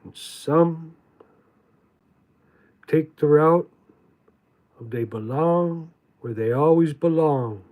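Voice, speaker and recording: Slow, halting man, fairly high voice. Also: muffled recording. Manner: halting, deliberate, repeats words for emphasis. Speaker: spiritual teacher reading from own writings. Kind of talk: slow contemplative spiritual monologue.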